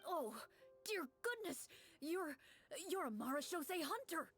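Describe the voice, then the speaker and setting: A woman's voice: very high-pitched, very animated, takes it slowly, a bit bright, heavily acted. A woman voicing an anxious, awed townsman in a game, theatrical, hesitant and given to exclaiming, with music behind. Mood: startled, overjoyed. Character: anxious, awed townsman begging monster hunters for help.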